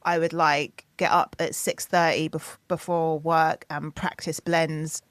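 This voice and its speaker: A woman's voice: low-pitched, unhurried, no echo. A woman in her thirties, a singer and producer, telling stories animatedly in a podcast interview and acting out what others said. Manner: animated storytelling, acting out quotes in a raised voice.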